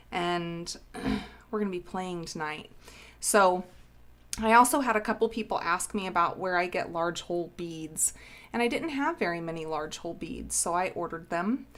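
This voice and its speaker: A woman hosting a casual craft live stream, bubbly and sniffly from a cold, greeting viewers by name. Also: fairly low pitch.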